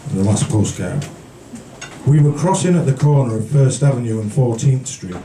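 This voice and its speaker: A man from the British Isles reading poetry live at a café event in a measured storytelling manner, with brief casual asides. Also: mid-range voice, expressive, warm tone. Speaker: featured poet. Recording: roomy, distant, noisy live recording.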